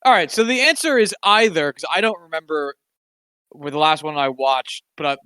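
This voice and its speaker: A man's voice: a little high, moderate pace, bright, forceful tone, clean tone, no echo. A man co-hosting a casual sports podcast, talking in a wry, sarcastic, rambling way with plenty of fillers. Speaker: podcast co-host.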